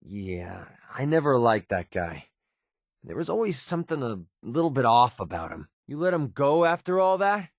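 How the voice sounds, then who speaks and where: A man's voice: medium pitch, sing-song, normal speed, no room sound, clean studio sound. A man playing a cynical but loyal young mercenary companion in a video game, with a wry, informal, sardonic delivery. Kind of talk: acted game dialogue, short reactive lines.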